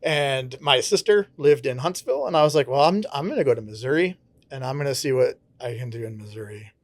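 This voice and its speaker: A man with a Connecticut accent talks at an average pitch. He is a pastor telling his own story in an earnest, reflective way. His voice is recorded close and dry, with little room sound. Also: expressive, brisk, dry recording. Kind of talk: casual interview talk.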